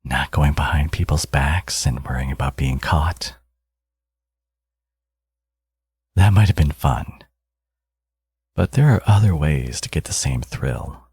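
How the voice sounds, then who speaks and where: A man playing a smug, flirtatious villain in an intimate ASMR audio roleplay, teasing and coaxing, with a gravelly, husky voice. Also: very deep voice, a little slow, a little mellow, dry recording, full character voice. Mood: seductive, persuasive.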